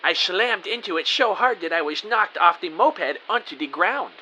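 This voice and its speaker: A man plays an excitable young-at-heart rookie spy telling his story in an audio drama. The voice is fairly high, extremely bright and swings around with eager melodrama. There is a faint hiss behind it. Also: normal speed, full character voice, low-quality recording. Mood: dramatic, anxious. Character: earnest, excitable male rookie spy narrating his adventure. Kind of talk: first-person audio drama narration with dialogue.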